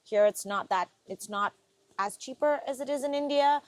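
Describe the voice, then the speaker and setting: A woman's voice: sits high, moderate pace, dry recording. A young woman from Nepal, a vlogger, answering viewer questions in an earnest, chatty way and laughing often. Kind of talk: casual Q&A chat.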